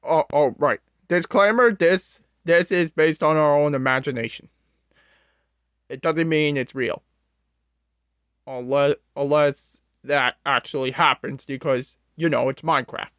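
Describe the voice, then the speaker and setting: A performer voicing an awkward, easily flustered storyteller who haltingly reads a scripted scary story aloud. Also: medium pitch, fairly bright tone, clean tone, no room sound, studio quality, close to an everyday voice. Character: Strong-Arm, awkward, scatterbrained storyteller host character. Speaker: storyteller host.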